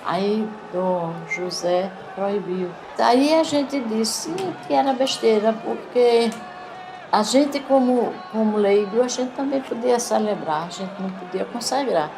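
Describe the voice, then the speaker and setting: A woman's voice: on the high side, slow. An older woman with a Northeastern Brazilian accent from Recife, telling her story conversationally as a personal testimony. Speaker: community educator and resident giving testimony. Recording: noisy interview with background ambience. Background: clear background noise and ambience.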